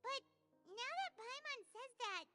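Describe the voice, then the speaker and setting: A woman voicing a small, excitable fairy companion girl who chirps like a child, in a video game. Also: very high voice, a little slow, faint music behind, exaggerated voice. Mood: excited, chirpy. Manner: refers to herself by name.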